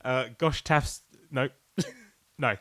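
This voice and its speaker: A man's voice: medium pitch, fairly even intonation, slow pace, a bit bright, no room sound, studio quality. A British man co-hosting a podcast, rambling in casual, exasperated comic banter with heavy swearing. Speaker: podcast co-host.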